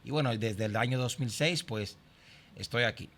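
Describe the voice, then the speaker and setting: A middle-aged Dominican man speaks as a guest pastor in an interview. His pitch sits in an ordinary male range, and he tells his own story in a conversational way. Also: normal speed, fairly bright tone. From Santo Domingo, Dominican Republic. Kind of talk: conversational personal testimony.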